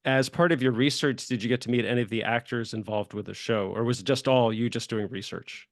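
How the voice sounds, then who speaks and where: A man's voice: medium pitch, fairly even intonation, brisk, no echo, clean studio sound. A man hosting a casual interview, chatting in a rambling, loosely worded way. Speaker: podcast host interviewing a guest.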